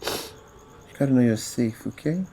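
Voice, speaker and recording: A man voicing a kind adult uncle who calms a crying child in a soft, hushed way. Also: medium pitch, unhurried, a little hiss or hum, natural voice, rough recording. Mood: soothing. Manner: soothing, hushed, calming. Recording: faint music underneath. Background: music.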